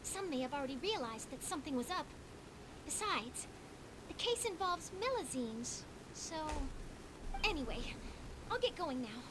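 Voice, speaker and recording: A woman voicing a young female journalist in a game who talks briskly and confidingly. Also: sits high, sing-song. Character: young female reporter, breezy and knowing. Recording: game audio under stream noise.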